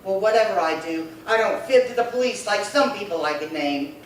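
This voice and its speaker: A woman's voice: medium pitch, expressive, a little fast, close to an everyday voice. A woman plays a bossy old lady around eighty in a vintage-style radio play. The delivery is huffy and scornful, in a mid-range voice, heard from far off with heavy echo. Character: haughty, bossy elderly sister of about eighty. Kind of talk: amateur re-creation of 1950s radio crime drama. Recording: very echoey room, distant mic, faint noise.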